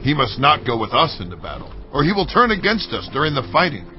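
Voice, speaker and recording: A man playing an angry, suspicious army commander in an audio Bible drama, forceful and demanding. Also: on the high side, very animated, quick pace. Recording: muffled dramatized recording with faint music.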